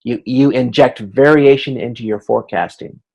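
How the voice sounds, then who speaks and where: A man giving an informal explanatory lecture as an instructor on a video conference, haltingly thinking aloud. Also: mid-range voice, vocal fry, husky, highs cut off.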